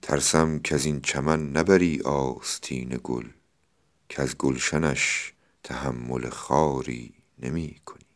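A man's voice: rumbling low, fairly even intonation. A man, speaking as a podcast host who presents and explains classical poetry. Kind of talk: poetry recitation and informal explanatory talk.

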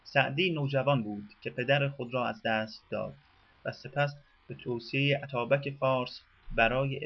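A man narrating a biography in a measured, formal reading style. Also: mid-range voice. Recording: dull lo-fi radio recording.

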